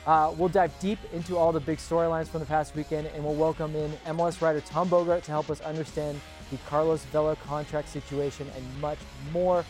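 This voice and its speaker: A man's voice: fairly high pitch, flat delivery, fairly quick, few pauses, a little mellow, faint music behind. A man hosting a sports show, giving casual, opinionated commentary in an emphatic way.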